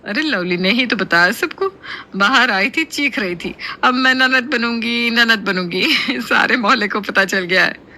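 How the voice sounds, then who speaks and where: A woman's voice: on the high side, measured pace, bright, forceful tone. A woman voicing a teasing, nosy neighbour in a cartoon story, boisterous in manner. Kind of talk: Hindi cartoon story voice acting.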